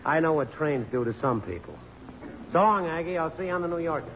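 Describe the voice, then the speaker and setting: A man's voice: on the high side, lively intonation, fairly quick, lightly acted. Casting: male actor for brash, insistent ex-lover with glib patter, 1950s radio play, reverberant and faintly noisy. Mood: sardonic. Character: brash, teasing male photographer and old flame. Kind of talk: 1950s radio drama acting. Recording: dull, reverberant, noisy old radio broadcast.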